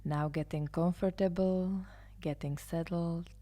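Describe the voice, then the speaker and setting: Low, slow, hushed woman guiding meditation. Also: fairly even intonation. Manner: soothing, hushed delivery, often trailing into near-whisper. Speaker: yoga nidra meditation guide. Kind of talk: guided meditation. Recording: live instrumental music behind the voice. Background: soft live instrumental music.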